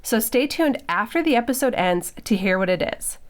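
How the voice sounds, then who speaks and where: A woman's voice: a little high, animated, moderate pace. Congested woman co-hosting a podcast. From Minnesota. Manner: chatty, laughs often, many restarts. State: congested from a cold. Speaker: podcast co-host. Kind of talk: casual podcast chat.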